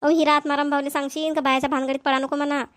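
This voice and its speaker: Casting: actress as chatty rural neighbour woman for a cartoon, high voice, brisk delivery, Vidarbha Marathi, practical temper. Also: flat delivery, lightly acted. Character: chatty, practical village women neighbours planning an engagement. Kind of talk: amateur cartoon dialogue.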